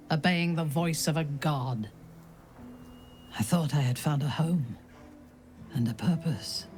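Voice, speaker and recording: An actress playing a proud, cold dark elf commander in exile in a video game, haughty and measured. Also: female voice, low voice, a little hiss or hum. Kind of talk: video game character acting.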